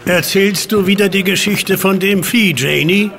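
Gruff radio play innkeeper: highish, gravelly voice, animated, servile yet dismissive. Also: male voice, a little slow, full character voice. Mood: scolding, dismissive. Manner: scolding, folksy. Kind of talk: German radio play acting.

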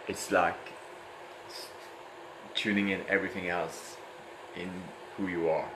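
A man leading an improvised guided meditation in a halting way, repeating words and drawing out phrases. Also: sits low, level tone. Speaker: meditation guide, host of a live session. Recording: echoey room, clear background noise.

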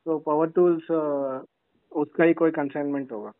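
A man with a slightly high voice speaks casually over a tinny phone connection. He is informal and halting, often repeating his words. The sound is dry and narrow. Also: steady tone, dry recording. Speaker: customer on a phone call. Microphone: phone call.

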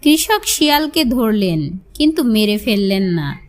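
A woman's voice: mid-range voice, sing-song, a little slow. A woman storyteller narrating a scripted fable for children.

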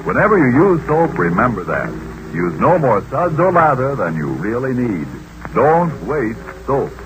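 A man reading sponsor messages as a 1940s radio announcer, brisk and lively. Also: mid-range voice. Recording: hissy old radio.

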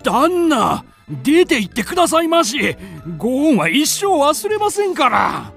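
A man's voice: extremely high pitch, sing-song, unhurried, soft background music, full character voice. A man playing a frightened, meek elderly servant in a radio drama, stammering and imploring. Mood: desperate pleading. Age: older.

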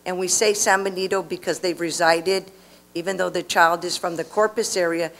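A middle-aged woman answering a school board's questions, quiet and matter-of-fact. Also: on the low side, steady tone, moderate pace. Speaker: district staff member answering the board. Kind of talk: answering questions at a public meeting. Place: school board meeting room. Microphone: podium microphone.

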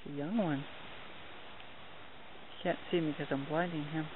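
A young man narrating a night hike outdoors into a handheld camera, chatty and excited, with mild minced oaths. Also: a little high, moderate pace. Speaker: hiking vlogger. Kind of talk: hushed running commentary while filming. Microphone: handheld camera mic. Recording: tinny lo-fi camera audio with hiss.

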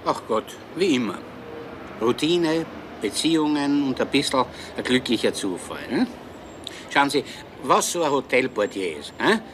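An actor playing a genial, talkative middle-aged police inspector with a Viennese accent in a radio crime drama. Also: male voice, medium pitch, a little slow. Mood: chatty. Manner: chatty, easygoing, lively. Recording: hissy old radio recording.

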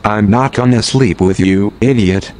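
A man's voice: lowish voice, measured pace, darkish tone, a little hiss or hum, lightly acted. A man playing a blunt, scheming musician in a comedy audio drama, with casual, sweary, sarcastic banter. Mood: wry. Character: blunt, scheming male musician in comeback band.

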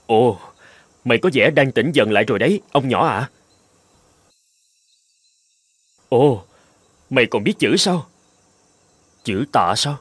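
A man's voice: medium pitch, expressive, moderate pace, pauses often, husky, dry recording. A man playing a tender, earnest young scholar in a folktale cartoon, speaking in formal old-fashioned phrases. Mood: surprised, kindly. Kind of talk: dramatic cartoon voice acting.

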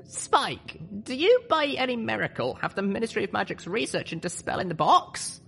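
Guy doing a small girl pony leader from a storybook, super high voice, firm and urgent. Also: big pitch swings, moderate pace, creaky, pushed and bright, a little hiss or hum, full character voice. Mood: eager. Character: small determined female pony leader, first-person heroine.

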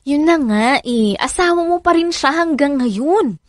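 A woman's voice: sits high, lively intonation, slow, no room sound, studio quality. An actress playing a hurt, insistent young mother and mistress in a Filipino radio drama, pleading and confrontational. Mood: exasperated.

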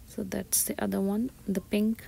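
Soft creaky half-whispering woman vlogger. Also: medium pitch, little pitch movement, moderate pace, low-quality recording. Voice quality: breathy, often half-whispered. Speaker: shopping vlogger. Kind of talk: soft ASMR-style shop-with-me commentary. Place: inside a discount store. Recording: store ambience noise behind soft voice.

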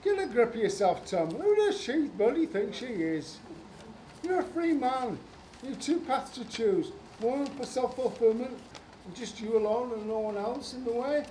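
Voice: medium pitch, animated, moderate pace, mellow, close to an everyday voice. A British amateur performer playing a scornful, goading voice of bad conscience in a radio play. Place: large conference room before an audience. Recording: echoey, slightly noisy hall.

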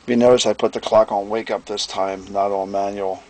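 A man giving a casual hands-on product demonstration as presenter, plain-spoken and folksy, often clearing his throat. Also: fairly low pitch, fairly even intonation, a little fast, darkish tone. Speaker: presenter, radio restorer and seller. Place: indoor workbench.

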